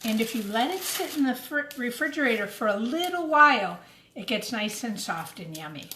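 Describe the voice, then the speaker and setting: A woman's voice: on the high side, sing-song, normal speed, bright, forceful tone. A woman giving a step-by-step cooking demonstration for children, explaining each thing simply and addressing them directly. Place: indoors in a kitchen. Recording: roomy echo, clattering kitchen noise.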